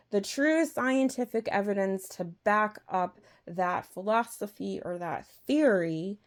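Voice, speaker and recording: Slow-paced woman giving a halting opinion monologue. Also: medium pitch. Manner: halting, rambling, with rhetorical questions. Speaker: vlog host.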